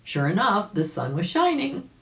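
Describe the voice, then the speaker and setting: A woman's voice: mid-range voice, very animated, normal speed, a bit bright. A woman reading a children's story aloud, chatting directly to her listeners with asides. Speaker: volunteer story reader. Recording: roomy, echoing home recording.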